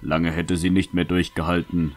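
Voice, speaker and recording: A man playing a gallant, courteous leader in a fantasy audio drama, formal and composed with quiet authority. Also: low voice, little pitch movement, moderate pace, faint music behind, natural voice. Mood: calm, gallant.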